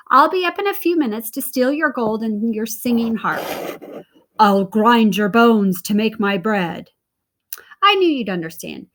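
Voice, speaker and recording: Woman doing Jack, a brash excitable storybook boy. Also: a little high, heavily acted. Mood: wheedling. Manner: pushy, excitable, talks fast. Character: boastful bossy boy narrator Jack.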